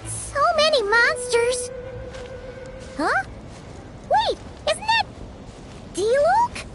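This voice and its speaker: A woman voicing a small, childlike fairy companion in a video game, excitable, blunt and curious. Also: very high-pitched, drawn-out words, faint music behind, exaggerated voice. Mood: surprised. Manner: exclamatory, indignant, naive questioning.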